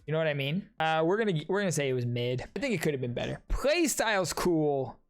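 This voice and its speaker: A man's voice: a little high, big pitch swings, quick pace. Young man rambling through casual reactions. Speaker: content creator reacting to trailers. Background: game trailer music.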